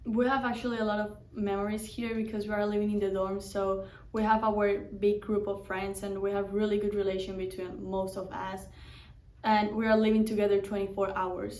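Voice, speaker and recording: A woman's voice: mid-range voice, a little mellow, a little hiss or hum. A young woman from Spain, a Spanish native speaker and boarding school student, answering in simple English with frequent "like". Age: young adult. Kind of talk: casual interview answers. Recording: echoey room, mic at a distance.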